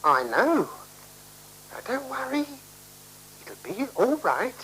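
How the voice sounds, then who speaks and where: A woman's voice: a little high, sing-song, slow pace, a bit bright, heavily acted, rough recording. Prim, teasing, lilting lady. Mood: coaxing. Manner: genteel, coaxing, patronizing, playing with words. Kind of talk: 1970s radio play acting. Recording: faintly hissy 1976 radio broadcast.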